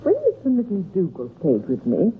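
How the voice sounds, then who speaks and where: A woman's voice: lowish voice, huge pitch swings, moderate pace. An actress playing a gossipy landlady, confiding and ominous, in an old radio drama. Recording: tinny, noisy old radio recording.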